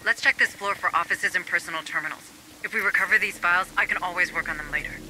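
An actor playing a pragmatic administrator turned activist who guides marines in a video game, earnest and commanding. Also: male voice, high-pitched, fast, sharp tone, slight background noise, rough recording. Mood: calculating. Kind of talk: video game cutscene and mission dialogue acting. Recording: game music behind the voice.

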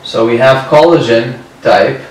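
Slow-talking young man in an echoey room. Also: mid-range voice. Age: 20s. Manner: slow, explanatory, repeats key terms. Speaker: medical lecturer. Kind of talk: whiteboard lecture. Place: indoor room at a whiteboard. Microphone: far camera. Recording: very echoey room, distant mic.

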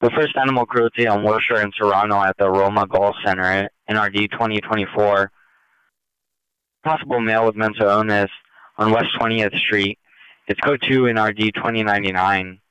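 Very clear, low-voiced male police dispatcher. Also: steady tone, normal speed, bright, forceful tone, dry recording. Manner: procedural. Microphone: radio dispatch channel. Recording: muffled police scanner radio.

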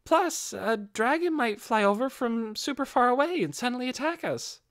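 Guy doing a young adventurer, pitched way up, chatty and lively, fantasy audiobook. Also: moderate pace, dry recording, studio quality, full character voice. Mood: teasing.

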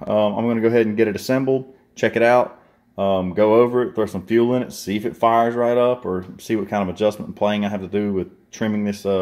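A man's voice: fairly low pitch, darkish tone. A man in casual chat, rambling and folksy as he unboxes and looks over a chainsaw for his viewers, filming with a handheld phone at his workbench. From southern United States. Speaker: vlogger reviewing a chainsaw. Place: workshop or garage indoors, workbench. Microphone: handheld phone camera.

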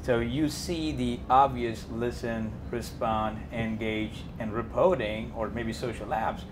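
A man with a mid-pitched voice lays out points methodically, like a presenter on a panel. A steady rumble is clearly audible behind him. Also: slow. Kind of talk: panel discussion.

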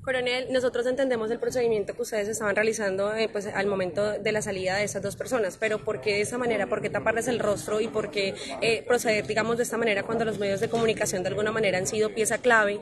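Fast-talking, insistent Colombian woman reporter. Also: medium pitch, little pitch movement, crowd noise. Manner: insistent, challenging. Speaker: news reporter. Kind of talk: press questioning. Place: outdoors at night, press scrum.

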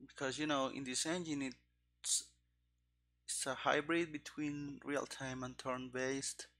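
A man in his thirties giving casual, halting live coding commentary into a headset mic, musing to himself as he works. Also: medium pitch, level tone, sharp tone. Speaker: streamer, game developer. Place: indoor room at a computer. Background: keyboard typing and clicking.

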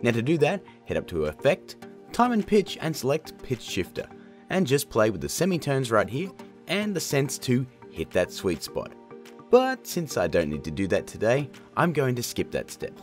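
A man in his thirties energetically hosting a software tutorial, chatty and jokey, from a home studio. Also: mid-range voice. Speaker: video tutorial host.

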